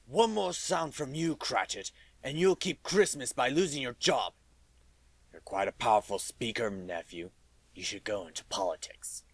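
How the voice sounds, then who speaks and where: Man playing a husky, mean old miser. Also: medium pitch, animated, moderate pace, no echo. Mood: curt. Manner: curt, grumbling, scornful. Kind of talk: radio drama acting.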